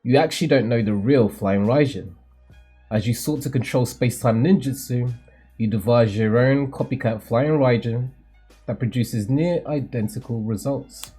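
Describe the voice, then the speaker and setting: A man voicing a solemn, cryptic mentor who sees the future, in a theatrical, measured, formal manga read-aloud. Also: medium pitch, dark tone, husky, soft background music, close to an everyday voice. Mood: solemn, instructive.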